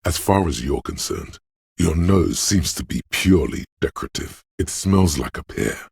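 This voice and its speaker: A man voicing the wry inner voice of a detective's sense of smell in a game, with a slow, sardonic delivery. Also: big pitch swings, no echo, full character voice. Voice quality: deep gravelly husky voice. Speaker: voice actor for game narration. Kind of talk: scripted game voice lines. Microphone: close studio mic.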